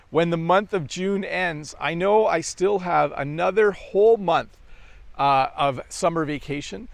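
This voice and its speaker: A middle-aged Canadian man with a Canadian accent, giving a relaxed, friendly English lesson with casual fillers. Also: a little high, creaky, dry recording. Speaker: English teacher.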